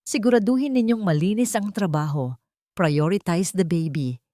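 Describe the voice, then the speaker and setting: A woman's voice: lowish voice, moderate pace, dark tone, no room sound, studio quality, close to an everyday voice. A woman acting a ruthless older mother-in-law. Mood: cold, commanding. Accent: Filipino. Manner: cold, commanding.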